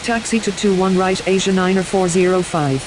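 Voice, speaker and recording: A woman's voice: lowish voice, moderate pace. A woman speaking as an airline pilot over aviation radio, giving clipped, formulaic calls and readbacks. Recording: hissy, noisy radio transmission.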